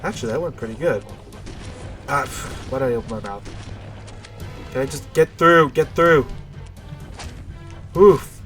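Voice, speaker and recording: A man's voice: medium pitch, unhurried, long pauses, fairly bright tone, faint music behind. A man with a stuffy, nasal voice giving casual, rambling, unscripted commentary while playing a video game. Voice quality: nasal, congested. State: stuffy nose.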